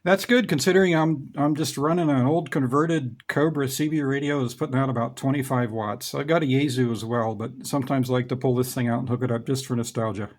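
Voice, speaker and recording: A man's voice: medium pitch, steady tone, a little fast, few pauses, slight background noise. A middle-aged man who hosts a ham radio hobby vlog, explaining things in a casual, matter-of-fact, conversational way. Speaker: host, amateur radio hobbyist.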